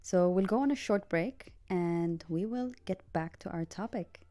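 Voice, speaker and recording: A woman's voice: fairly low pitch, measured pace. A woman hosting a live radio talk show, upbeat and welcoming as she opens the episode and hands over to her co-host. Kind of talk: casual radio chat. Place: radio studio.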